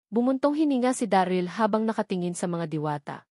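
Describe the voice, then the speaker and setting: Female audiobook narrator, mid-range pitch, scripted novel reading, dry close sound. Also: expressive, brisk, mellow, dry recording.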